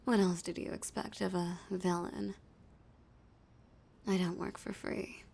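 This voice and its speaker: A woman voicing a flirty, dominant female villain in an intimate audio roleplay, teasing and often dropping into a breathy whisper. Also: on the low side, level tone, unhurried, a little mellow, heavily acted. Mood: teasing, confident.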